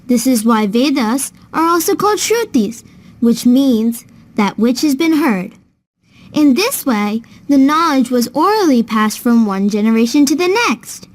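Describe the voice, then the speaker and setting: A woman playing a knowledgeable big sister in a children's cartoon, with a fairly high voice. She explains patiently and kindly, as if telling a lesson to a younger child. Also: expressive, measured pace. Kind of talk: scripted children's educational storytelling.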